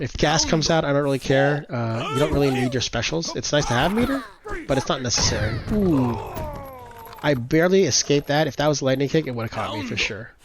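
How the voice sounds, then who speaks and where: A man's voice: mid-range voice, noisy background. A congested man casually commentating his own game matches, explaining his plans with lots of fillers. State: congested, slightly stuffy.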